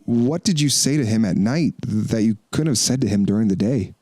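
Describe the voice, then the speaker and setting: An actor playing a wary, questioning disciple and builder in an audio drama, speaking with earnest intensity. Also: male voice, fairly low pitch, animated, moderate pace, mellow, no echo, studio quality. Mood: bitter suspicion.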